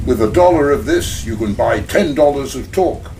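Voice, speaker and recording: A man playing a smooth, scheming male crime boss in a community radio play, speaking in formal, elaborate phrases. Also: mid-range voice, lively intonation, normal speed, a little mellow, far from the mic, a little hiss or hum, lightly acted. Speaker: actor in community audio drama.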